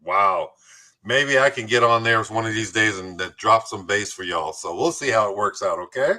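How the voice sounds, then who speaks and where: Guy hosting a local talk show, bright punchy voice, jokes around between intros. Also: lowish voice, moderate pace. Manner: jokey, playful. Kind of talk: hosting a community talk show, part scripted introductions, part banter.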